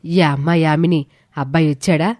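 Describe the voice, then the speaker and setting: A woman's voice: deep voice, fairly even intonation, moderate pace, darkish tone, no room sound, clean studio sound, lightly acted. A woman voicing a caring middle-aged neighbour in a story, motherly, practical and reassuring. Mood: motherly concern.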